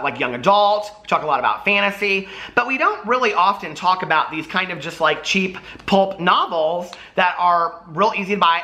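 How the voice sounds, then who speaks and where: Casting: American man, middle-aged book vlogger, sharp bright voice, animated and casual, prone to rambling asides. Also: high voice. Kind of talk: casual chatty vlog talk.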